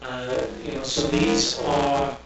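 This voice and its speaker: A man's voice: mid-range voice, monotone, slow, echoey room, music in the background, rough recording. A man from Texas giving a casual, rambling Bible lesson with many fillers and asides. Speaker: Bible teacher.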